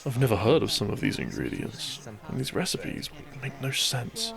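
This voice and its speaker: A man acting a gentle, devoted country doctor and bridegroom in a romantic audio drama, soft-spoken and formal in his wording. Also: mid-range voice, sing-song, normal speed, creaky. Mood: tender, curious.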